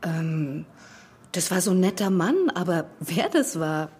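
A friendly, flustered kindergarten teacher in a children's audio play. Her voice is husky and swings up and down as she chats. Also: lowish voice, sing-song, a little slow, darkish tone, exaggerated voice.

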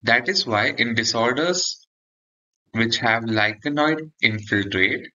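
A man's voice: medium pitch, expressive, slow pace, pushed and bright, highs cut off. A man gives an explanatory medical lecture for exam students, often checking in with a short "okay". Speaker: dermatology lecturer.

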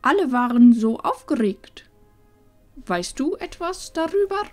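A woman playing a wise old village grandmother in a fantasy audio drama, calm, measured and gently probing. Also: high-pitched, faint music behind. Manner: measured, knowing.

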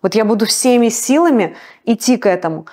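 A woman's voice: expressive, brisk, darkish tone. Emphatic woman singer, motivational talk. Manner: emphatic, motivational, pitch jumps up on key words. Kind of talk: interview guest.

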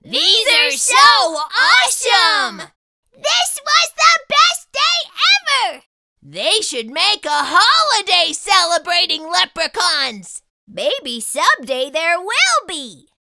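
A woman's voice: very high-pitched, very animated, slow, gravelly, bright, forceful tone, no echo, studio quality, full character voice. A woman voicing a small, curious, childlike spot creature who eagerly asks lots of questions in a children's storybook read-aloud.

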